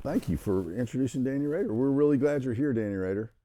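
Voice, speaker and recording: A man's voice: mid-range voice, animated, quick pace, warm tone, no echo. A man hosting a casual interview chat, speaking in an encouraging, affirming way. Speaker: show host.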